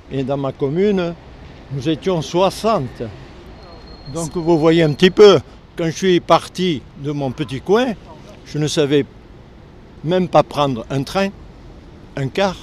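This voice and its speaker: An older man with a southern French accent from Lozère, a retired air force serviceman speaking earnestly in a street interview, halting when the subject turns painful. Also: on the high side, a little hiss or hum. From Lozère, southern France. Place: outdoors on a city street.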